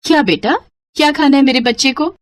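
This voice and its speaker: A woman's voice: high voice, a little fast, no room sound, clean studio sound, pitched above the performer's own voice, close to an everyday voice. A woman voicing an affectionate, sometimes anxious young village mother and wife in a cartoon story. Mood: doting, amused. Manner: affectionate, expressive.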